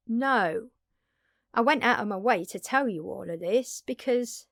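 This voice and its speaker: A woman playing an earnest, blunt, long-lived vampire in an intimate audio drama, speaking haltingly and pleadingly with dramatic pauses. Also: medium pitch, no room sound, studio quality. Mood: earnest, persuasive. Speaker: voice actor.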